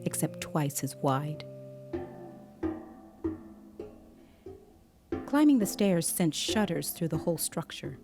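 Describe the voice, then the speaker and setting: A woman playing a composed, observant child interviewer, narrating an audio drama in a measured, deliberate way. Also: sits low, sing-song, music in the background. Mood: grave, measured. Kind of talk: scripted dramatic first-person narration. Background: musical scoring.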